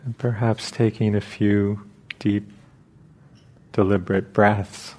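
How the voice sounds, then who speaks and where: A man leading a guided meditation as a teacher, speaking in short, halting phrases with long pauses between them. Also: on the low side, flat delivery, a little mellow.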